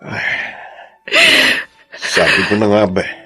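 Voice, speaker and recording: An actor with a Filipino accent playing a caring, humble neighbour in a radio drama, hesitant and plain-spoken. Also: male voice, low voice, takes it slowly, sharp tone, faint music behind, exaggerated voice, rough recording. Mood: consoling. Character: caring, awkward, humble male neighbour Andres. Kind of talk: Tagalog radio drama acting.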